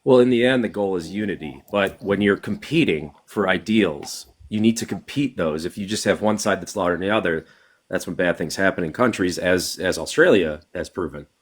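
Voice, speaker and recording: Male caller, deep voice, assertive, lists points firmly. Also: fairly quick. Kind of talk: casual political debate.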